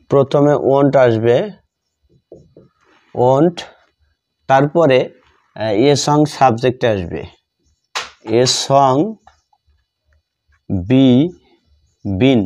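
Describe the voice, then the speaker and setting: Bengali guy teaching grammar, really slow n relaxed, repeats stuff word by word like hes writing it out. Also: medium pitch, dull audio. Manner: halting, repetitive, word-by-word delivery. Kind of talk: step-by-step grammar lesson, dictating as writing.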